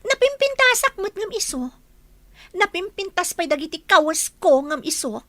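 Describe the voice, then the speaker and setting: A woman's voice: very high-pitched, sing-song, moderate pace, a bit bright, exaggerated voice. A woman acting a jealous, spiteful, boastful young village woman in an Ilocano radio comedy drama, with an Ilocano accent and a catty, dramatic delivery. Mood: bitter, jealous.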